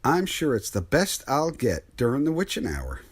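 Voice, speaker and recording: An actor playing a weary, skeptical truck driver with a husky, folksy, colloquial way of talking in a radio mystery drama. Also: male voice, medium pitch, wildly swooping pitch, moderate pace. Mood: amused, skeptical.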